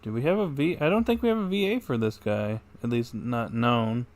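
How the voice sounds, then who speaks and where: A male livestreamer commenting casually on a video game. He has a medium-pitched voice and thinks out loud in an offhand, conversational way. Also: brisk. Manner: offhand, musing aloud.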